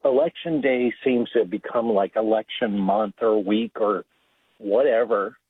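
A man's voice: lowish voice, measured pace, slightly rough, no room sound. Male caller on a tinny phone line. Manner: chatty, chuckling, indignant.

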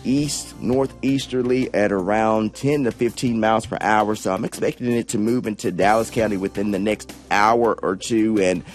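A man's voice: lowish voice, steady tone, moderate pace, few pauses, soft background music. A man, a meteorologist giving an upbeat, chatty weather report on radio. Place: radio studio.

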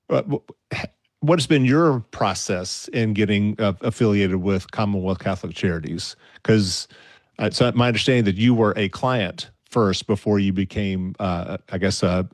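Low-voiced male radio host, dry studio. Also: normal speed, dry recording. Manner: warm, encouraging, with hesitant restarts. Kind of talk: interviewing a guest.

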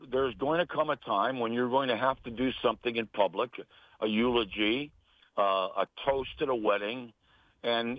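A man's voice: mid-range voice, dry recording. An American man, middle-aged or older, speaking as an interview guest in an earnest, emphatic conversational way, repeating phrases for stress. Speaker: interview guest, sports announcer and broadcasting teacher. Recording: tinny phone line.

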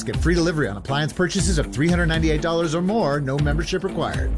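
A man reading a retail sale ad in a brisk announcer style. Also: on the high side. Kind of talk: retail ad read.

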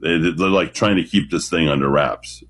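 Deep-voiced confident talk radio man. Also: a bit bright. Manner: confident, emphatic storytelling with dramatic pauses. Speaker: radio guest calling in.

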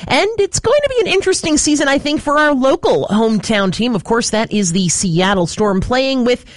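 A woman co-hosting a local radio news show, whose first language is Ukrainian, lively and chatty in a studio. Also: a little high, normal speed, no echo. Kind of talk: casual radio news chat. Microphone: studio microphone.

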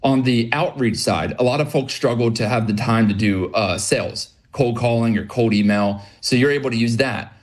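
A man's voice: mid-range voice, normal speed, hardly any pauses. A man as an interview guest, explaining his business in an upbeat, practical way. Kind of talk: interview answers.

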